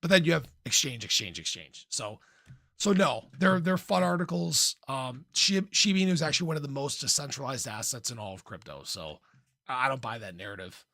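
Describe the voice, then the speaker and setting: A man with a gravelly, slightly hoarse voice at a middling pitch talks casually as a livestream host. He is informal and playful, often clearing his throat. The sound is dry and close, with no room to it. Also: expressive, brisk, bright, forceful tone, dry recording. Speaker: livestream host, crypto commentator. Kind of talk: casual livestream chat, reading and answering viewer comments.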